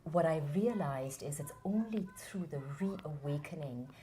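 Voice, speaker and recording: A woman from India, giving earnest, measured and passionate answers in an interview. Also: deep voice, expressive, dark tone, a little hiss or hum. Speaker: interview guest, founder of a theatre academy.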